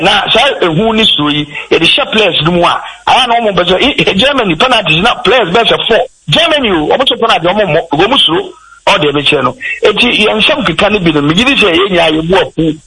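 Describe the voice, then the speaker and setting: A man with a Ghanaian accent, a football pundit on a sports talk show, talking in an animated, rambling way and switching between English and Twi. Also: a little high, slightly rough, low-quality recording. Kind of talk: radio sports discussion.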